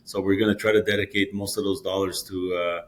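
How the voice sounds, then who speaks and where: A mining executive talks through costs and plans in an investor interview. He is a man with a somewhat low voice. He stops and starts often, repeats words, and falls back on "you know" and "uh". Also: level tone. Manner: halting, many repeated words and fillers.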